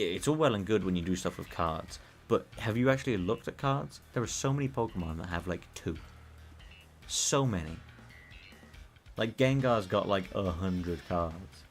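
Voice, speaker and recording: A British man streaming a game, chatting casually in a rambling, profane way that he calls monotone. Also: sits low. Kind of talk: casual live-stream chat while gaming.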